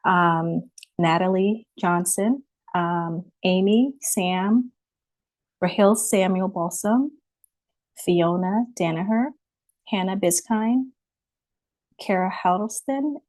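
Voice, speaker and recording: A woman author speaks in a slightly low voice, close and dry with very little room sound. She is presenting and reading her picture book on a video call, with a polite manner. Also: creaky, a little mellow, no echo. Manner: polite, apologetic about screen sharing. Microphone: computer mic on a video call.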